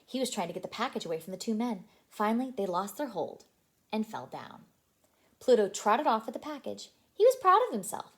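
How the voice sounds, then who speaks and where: A woman reading a story aloud to young children as a childcare storyteller, expressive and animated. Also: medium pitch, a little fast. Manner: animated, asks listeners questions.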